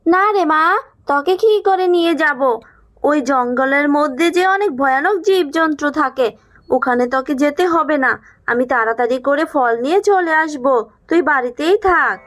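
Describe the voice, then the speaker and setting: A woman's voice: high voice, normal speed, a bit bright, dry recording, clean studio sound. A woman voicing a devoted, fretful mother jackal in a children's fairy tale cartoon, with an earnest motherly manner. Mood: caring, weary.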